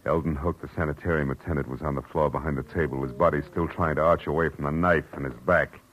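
An actor playing a terse, world-weary private detective with an American accent in a 1940s radio drama. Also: male voice, a bass voice, steady tone, fast, a bit bright, rough recording. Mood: grim, tense narration. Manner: terse, hard-boiled, dry. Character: hard-boiled, world-weary male private detective narrating his case. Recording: vintage radio drama with music.

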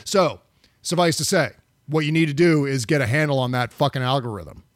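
A man's voice: medium pitch, fairly quick, dry recording, studio quality. A middle-aged man hosting a podcast, talking casually about politics with frequent fillers and swearing. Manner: conversational, profane, many fillers. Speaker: podcast host.

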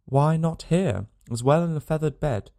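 Man doing dying frontiersman, parched, weary, gentle, rough vernacular, gravelly, raised pitch. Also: animated, normal speed. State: parched, dry-lipped.

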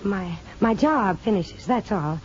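A woman's voice: medium pitch, lively intonation, slow pace. A woman playing an anxious, affectionate young nurse, hesitant and earnest, in 1950s radio drama style. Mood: tender, pleading. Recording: dull, noisy 1950s radio recording.

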